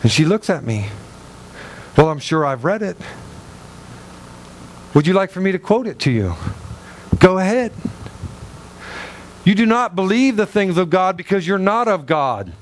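A man preaching a sermon as pastor, emphatic and animated, with a loud voice that carries, acting out the people in his stories. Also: sits high, measured pace, long pauses, a little hiss or hum, poor audio. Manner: loud, carrying voice. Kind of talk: sermon with storytelling.

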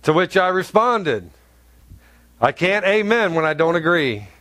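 Blunt, joking pastor from North Carolina. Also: male voice, very animated, unhurried, sharp tone, dry recording. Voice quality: deep, carrying voice. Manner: blunt, humorous, chatty preaching with asides. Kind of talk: sermon. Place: church sanctuary.

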